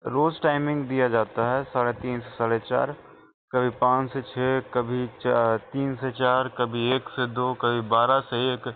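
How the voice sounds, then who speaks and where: A man's voice: medium pitch, little pitch movement, a little slow, a little hiss or hum. An Indian man making a complaint as a customer on a phone call, speaking in an insistent, repetitive, aggrieved way. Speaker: customer calling support with a complaint. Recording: tinny phone call recording.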